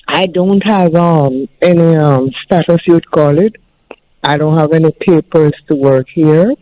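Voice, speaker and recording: A woman with a Jamaican accent calling a talk show, speaking hesitantly with many pauses and ums. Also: sits low. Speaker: caller. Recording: dry, muffled phone line.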